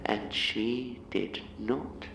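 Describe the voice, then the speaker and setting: Man acting a creaky, echoing, eerie male monster. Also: on the low side, steady tone, far from the mic, background noise, full character voice. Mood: bitter, mocking. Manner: slow, measured, theatrical phrasing. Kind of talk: dramatic horror monologue.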